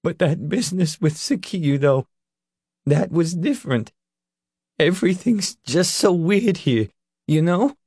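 A man's voice: a little high, animated, measured pace, a little mellow, slightly rough, no room sound, studio quality, voice pushed up, heavily acted. A man voicing a frantic, guilt-ridden teenage boy in an audiobook, crying and pleading with a stammering, breaking voice.

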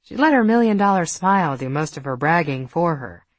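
A woman narrates an audiobook novel. Her voice sits a little low and moves with lively intonation. The sound is very dry, like a studio booth. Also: fairly quick, no echo. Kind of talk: scripted fiction narration.

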